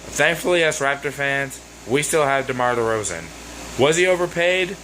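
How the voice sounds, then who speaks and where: A hoarse man vlogging to his viewers, loose and rambling, with frequent ums and uhs. His pitch sits at an ordinary middle level, and his exasperated rants carry a faint hiss of background noise. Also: moderate pace. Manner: rambling, exasperated, with many fillers. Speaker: sports vlogger. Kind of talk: casual ranting vlog.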